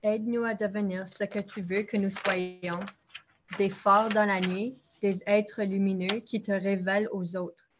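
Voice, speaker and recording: A woman's voice: mid-range voice, level tone, measured pace, dull audio, background noise. A woman, reading a prayer and telling about herself in a plain, straightforward way. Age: 20s. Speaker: nurse, interview guest. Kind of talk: prayer reading and interview answers.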